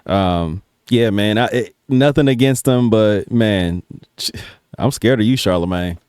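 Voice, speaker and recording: American male reaction commentator, slangy and casual, deep clean voice. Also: a little slow, darkish tone, clean tone, dry recording, studio quality. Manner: colloquial, slangy.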